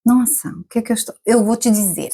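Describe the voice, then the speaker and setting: A woman in her forties talks as a guest on a podcast, her voice sitting a little high. She chats casually, laughs easily and keeps checking in with little tag questions. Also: fast, warm tone. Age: 40s. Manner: chatty, laughs often, many tag questions. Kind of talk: casual interview chat.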